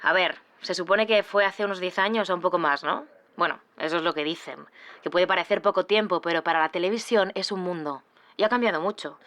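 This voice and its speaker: An actress playing a middle-aged female TV production chief, answering an interviewer in a brisk, colloquial way. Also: lowish voice, bright, forceful tone. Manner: colloquial, matter-of-fact, slightly condescending.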